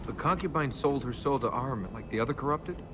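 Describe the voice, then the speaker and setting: A man's voice: mid-range voice, lively intonation, fast talker, natural voice. An actor playing a flippant, sardonic young male adventurer in a video game, talking casually. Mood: wry, casual. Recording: clear background noise.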